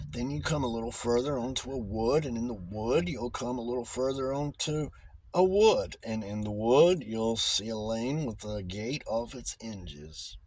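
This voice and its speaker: A man's voice: mid-range voice, slight background noise, close to an everyday voice. A man voicing a talkative old shopkeeper in an audiobook, with folksy, ungrammatical speech that stumbles and repeats itself. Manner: folksy, ungrammatical, halting repetitions. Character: blustery old rustic male shopkeeper, talkative and eager to sell. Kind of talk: audiobook character voice.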